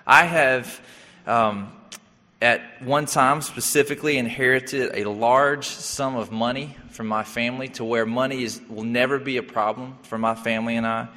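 Bright-voiced thirties man preaching. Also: mid-range voice, fairly even intonation, long reverb tail. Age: 30s. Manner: halting, with many fillers and restarts. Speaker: young adult pastor. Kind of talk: sermon.

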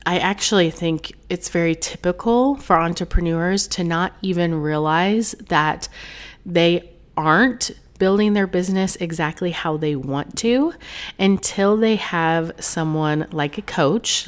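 Woman, podcast host and coach, stuffy from a cold, lower voice, chatty and encouraging. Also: takes it slowly. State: congested from a cold. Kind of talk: solo podcast talk.

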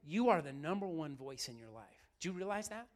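Brief: middle-aged male pastor, high voice, slightly raspy, lively sermon that keeps asking questions. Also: a little fast. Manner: lively, asks the room questions often.

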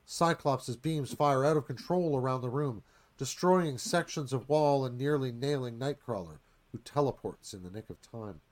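A man reads screenplay directions as narrator of a live radio play. His voice sits at a medium pitch. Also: moderate pace, slight background noise.

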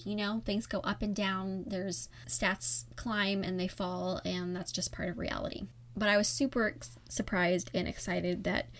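A woman hosting her own podcast, talking in a candid, conversational and reflective way with a voice listeners call soothing. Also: mid-range voice. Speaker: podcast host. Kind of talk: solo podcast talk.

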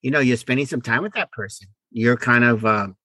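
A man co-hosting a podcast in a casual, conversational style, with slightly halting phrasing. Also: mid-range voice, bright, forceful tone, no room sound. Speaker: podcast co-host. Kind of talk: casual relationship chat.

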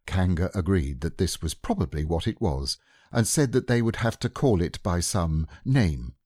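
A man narrating a classic children's storybook in a gentle, expressive way. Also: low-pitched, moderate pace, no room sound, studio quality. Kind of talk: storybook reading.

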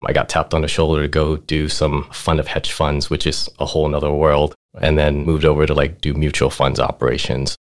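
A man's voice: a bass voice, completely flat delivery, fairly quick, hardly any pauses. A man in his thirties who grew up in Thailand, a finance manager telling his career story in a laid-back way, laughing often and saying "like" and "right?" a lot. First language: Thai. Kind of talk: casual interview storytelling.

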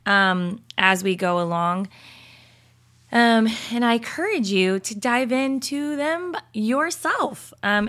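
A woman hosting a faith podcast, slightly raspy this morning, talking in a chatty, upbeat way with laughs and fillers. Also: medium pitch, fairly bright tone. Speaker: podcast host. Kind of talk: casual devotional podcast talk.

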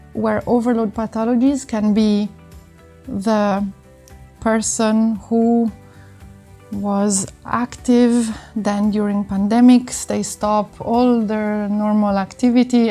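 A woman's voice: mid-range voice, dark tone. A middle-aged Italian woman speaking English with an Italian accent as an interview guest, explaining things conversationally and searching for words now and then. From northern Italy. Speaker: interview guest, sports medicine doctor.

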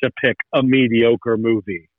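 A man's voice: mid-range voice, fairly even intonation, a little slow, no echo. A man in his thirties chatting casually, rambling and nostalgic, often restarting his sentences. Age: 30s. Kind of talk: casual chat. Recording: tinny phone line.

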